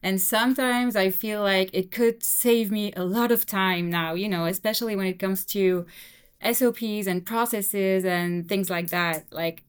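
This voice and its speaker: A young woman whose first language is French, talking relaxed and casually as an interview guest, with many fillers. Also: medium pitch, a little slow, smooth. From Belgium. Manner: relaxed, frequent fillers and "you know". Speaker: guest translator.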